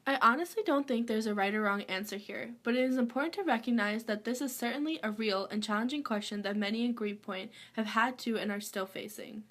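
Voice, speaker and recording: A woman's voice: a little high, fairly quick, few pauses. Woman reading a scripted narration. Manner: read-aloud, even, formal.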